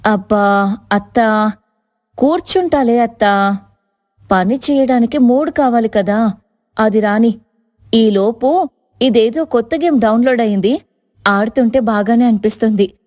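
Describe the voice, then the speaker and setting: A woman voicing a lazy young daughter-in-law in an animated story, whiny and sweet-talking. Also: fairly high pitch, unhurried, pauses often, pitched below the performer's own voice, natural voice. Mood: lazy, wheedling.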